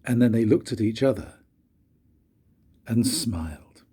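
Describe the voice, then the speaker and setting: An older man, a grandfather, reading a children's story aloud in a husky, breathy voice with expressive, dramatic pauses. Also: medium pitch. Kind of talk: storybook read-aloud narration.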